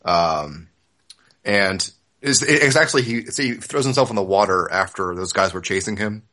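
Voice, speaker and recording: Bright-voiced, low-pitched man in casual discussion. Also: animated, moderate pace. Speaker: podcast co-host. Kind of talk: casual discussion of a comic series.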